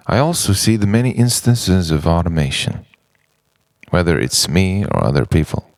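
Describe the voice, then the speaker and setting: A man delivering an introspective, poetic spoken-word monologue in deliberate, halting phrases with dramatic pauses, his voice gravelly and sometimes sinking toward a whisper. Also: deep voice, expressive, no echo.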